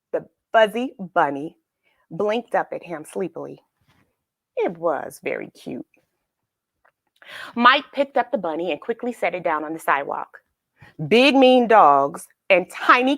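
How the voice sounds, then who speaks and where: A woman's voice: fairly low pitch, dry recording. A woman hosting a children's read-aloud, narrating a storybook expressively with emphasis and questions to young listeners. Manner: expressive, emphatic, dramatic pauses.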